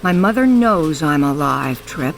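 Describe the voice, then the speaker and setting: Woman acting a guarded, slow-spoken stern woman. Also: deep voice, lively intonation, gravelly, faint music behind, heavily acted. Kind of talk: audio drama acting.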